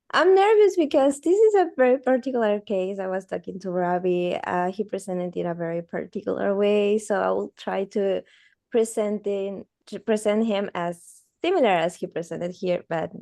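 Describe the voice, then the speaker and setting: A nervous, giggly woman presents a medical case over a video call. Her voice is mellow with a gravelly edge. The sound is dry and close. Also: mid-range voice, dry recording.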